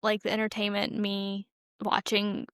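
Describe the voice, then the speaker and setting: A woman's voice: mid-range voice, measured pace, smooth, no room sound, clean studio sound. A woman co-hosting a podcast, chatting casually and retelling things with acted-out reactions and lots of "like". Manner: animated retelling with quoted reactions, frequent "like". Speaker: podcast co-host. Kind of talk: casual chat about a TV show.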